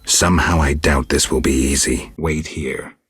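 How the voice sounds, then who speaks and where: A world-weary male monster hunter from a fantasy video game. The voice is extremely deep and raspy, recorded dry and close. He speaks in terse, deadpan phrases, gruff and stoic throughout. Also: normal speed, no room sound, heavily acted. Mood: matter-of-fact. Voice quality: gravelly, husky.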